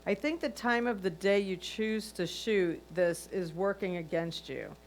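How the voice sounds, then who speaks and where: A woman reading a judge's written comments aloud as a critique. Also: a little slow. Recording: noisy line with low hum.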